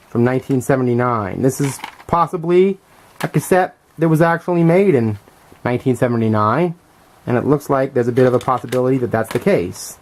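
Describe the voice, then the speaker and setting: A middle-aged man giving a casual, unscripted show-and-tell commentary as a collector, thinking aloud in a halting, hesitant way. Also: medium pitch, slight background noise. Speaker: vlogger and music collector showing finds.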